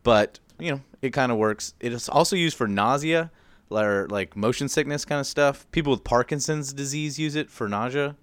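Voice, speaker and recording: A man's voice: medium pitch, moderate pace, clear, resonant tone, no echo. A man co-hosting a podcast, explaining his research in an informal, loose, self-deprecating way with mild slang. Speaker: podcast co-host presenting research. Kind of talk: casual conversational explaining.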